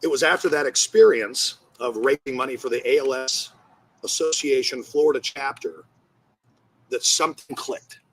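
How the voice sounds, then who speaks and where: A man giving a personal monologue in a husky voice, blunt and profane, pausing between phrases. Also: mid-range voice, a bit bright, low-quality recording. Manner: blunt, profane, halting with pauses. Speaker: comedian and charity founder.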